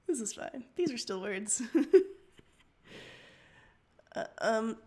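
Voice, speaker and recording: Giggly high-voiced woman with a slight cold. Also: expressive, slow pace. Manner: giggly, breaks into laughter often. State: start of a throat cold, coughing. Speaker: co-host of a story-reading stream. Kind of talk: casual livestream chat.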